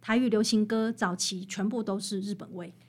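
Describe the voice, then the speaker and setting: A woman's voice: mid-range voice, fairly even intonation, a little fast, a little mellow. A woman with a Taiwanese Mandarin accent, an author interviewed as a guest, explaining earnestly and conversationally with repeated, parallel phrases.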